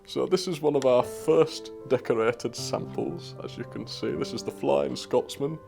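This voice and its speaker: Man acting as eccentric businessman, gravelly creaky voice, pushy salesman manner, comedy documentary, prominent music behind. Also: mid-range voice, measured pace. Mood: proud. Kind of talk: mock corporate documentary.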